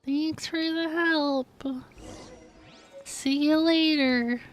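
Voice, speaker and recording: A woman's voice: high voice, fairly even intonation, very slow, soft background music. A woman livestreaming a video game, chatting casually and playfully with her viewers as she plays. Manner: laughs easily, playful reactions. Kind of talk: casual chat with viewers while playing.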